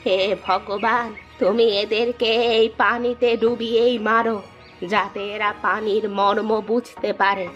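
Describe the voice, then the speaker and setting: Slow-speaking bitter old woman, acted by a woman. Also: fairly high pitch, little pitch movement, fairly bright tone, muffled recording, soft background music. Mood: bitter, cursing. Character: aggrieved old village woman laying a curse. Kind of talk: Bengali moral-tale cartoon dubbing.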